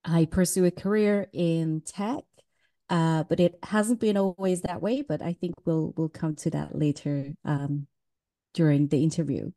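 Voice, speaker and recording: Woman, pretty low voice, warm and relaxed, laughs easy on a podcast. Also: a little slow. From Switzerland. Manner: chatty and candid, laughs easily. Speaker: guest. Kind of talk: casual interview talk.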